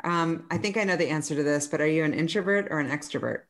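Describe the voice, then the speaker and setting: Low-voiced woman hosting a chatty podcast. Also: fast talker. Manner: friendly, laughs often, chatty questions. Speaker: podcast host. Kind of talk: casual podcast interview.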